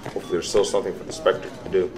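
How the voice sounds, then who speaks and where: A man's voice: low-pitched, flat delivery, very fast, a little mellow, natural voice. A man playing a weary, sarcastic young secret superhero, exasperated and dry, in an audio drama. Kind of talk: audio drama acting with first-person narration. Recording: music under the voice.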